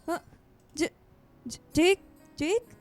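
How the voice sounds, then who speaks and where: A woman's voice: extremely high pitch, very slow, faint music behind, full character voice. A woman playing a shy, smitten teenage girl in a radio drama, stammering and exclaiming as she fibs. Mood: flustered, nervous.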